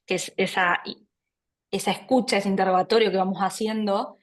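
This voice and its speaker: A woman from Argentina with a Buenos Aires accent, a doctor talking over a video call, warm and hesitant, often repeating words as she thinks. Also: medium pitch, steady tone, normal speed. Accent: Buenos Aires Spanish. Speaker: internal medicine doctor, guest speaker. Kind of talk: online talk presentation.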